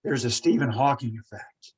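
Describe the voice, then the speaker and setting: A man's voice: mid-range voice, normal speed, breathy edge, rough recording. A man in his forties speaks openly with a stutter, repeating first sounds before pushing through. He talks in earnest, emphatic bursts as a podcast host, in an ordinary mid-range voice. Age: 40s. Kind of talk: casual monologue.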